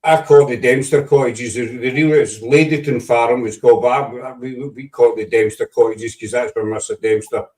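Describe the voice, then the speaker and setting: A man from Scotland with a Scottish accent, chatting casually in a halting way, often repeating words, with a dry sense of humor. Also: mid-range voice, steady tone, a little mellow, distant mic. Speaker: paranormal investigator. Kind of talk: casual chat.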